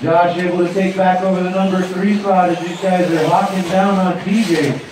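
A man's voice: sits high, moderate pace, very echoey, far from the mic, background noise. A man giving live play-by-play commentary at an outdoor dirt track. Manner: steady play-by-play calling. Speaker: race announcer.

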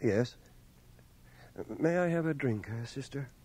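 An actor playing a wounded army sergeant in a radio drama, dazed and polite, speaking in a hesitant, faltering way. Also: male voice, medium pitch, lively intonation, unhurried, dark tone, slightly rough.

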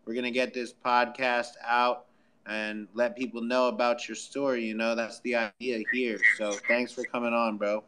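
Male podcast host for a casual live audio room. Medium pitch, slangy, promotional hype. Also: level tone, moderate pace, heavy background noise.

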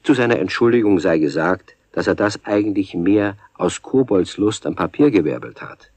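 A man's voice: low-pitched, animated, normal speed, darkish tone, no echo. A man narrating a scripted children's radio story as the storyteller.

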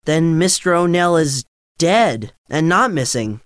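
A man playing a friendly, slightly nervous teenage boy in audiobook dialogue, earnest and animated. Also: a little high, a little slow, clean tone, dry recording, clean studio sound, voice pushed up. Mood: nervous, surprised.

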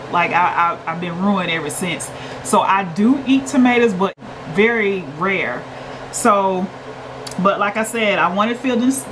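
A woman's voice: on the low side, noisy background. A woman in her forties chatting casually while she cooks in a home kitchen, rambling with fillers and restarts. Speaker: cooking vlogger. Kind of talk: casual cooking chat.

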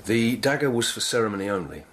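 An actor playing a grave, courteous palace lieutenant in a radio drama, measured and formal in manner. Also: male voice, fairly low pitch, animated, a bit bright, slightly rough. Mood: probing.

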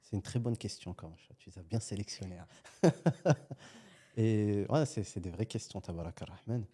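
A man's voice: on the low side, normal speed, husky. A man, a guest entrepreneur on a podcast, talking casually and acting out little scenes as he tells stories. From France. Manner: animated storytelling, acts out little scenes.

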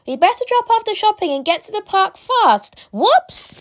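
A woman voicing a bold, excitable, space-mad little girl in a storybook, with theatrical exclamations. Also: very high-pitched, normal speed, pitched above the performer's own voice. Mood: excited.

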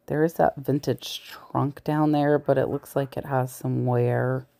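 Woman rambling to viewers while she thrifts, soft mellow voice, store aisles, now and then whispers. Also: very deep voice, steady tone, unhurried, gravelly. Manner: chatty, talks to viewers while browsing, sometimes drops to a whisper. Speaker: thrift reseller vlogger. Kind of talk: casual shop-with-me narration. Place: large thrift store, indoors. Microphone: body-worn camera mic, point of view.

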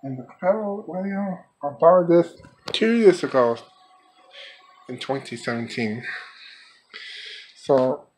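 A man casually showing off gadgets in a halting, repetitive, plain-spoken way, recorded on a handheld camera in a small bedroom. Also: fairly high pitch, expressive, darkish tone. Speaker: vlogger showing a weather radio collection. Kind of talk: casual product showcase. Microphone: handheld camera held by the speaker. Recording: noisy handheld camera audio.

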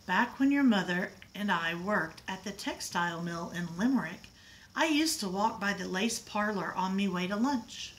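A woman's voice: medium pitch, lively intonation, moderate pace, a bit bright, close to an everyday voice, poor audio. A woman voicing a middle-aged father fondly telling an old family story, in a folksy, lilting way.

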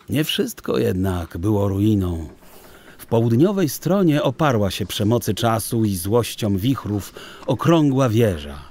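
Slow, theatrical male storyteller. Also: mid-range voice, darkish tone. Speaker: audiobook narrator. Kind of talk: expressive scripted literary narration for children.

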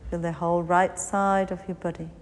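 A woman teaching yoga nidra, guiding a meditation in short phrases with pauses between them. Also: on the low side, flat delivery. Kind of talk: guided meditation, body scan narration. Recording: long hall reverb, faint background noise.

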